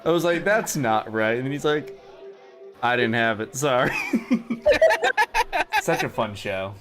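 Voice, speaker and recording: Casting: male co-host, lively swinging intonation, enthusiastic, loose and sweary conversational delivery. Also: mid-range voice, slow pace, over loud music. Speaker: co-host of a livestream. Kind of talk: casual chat.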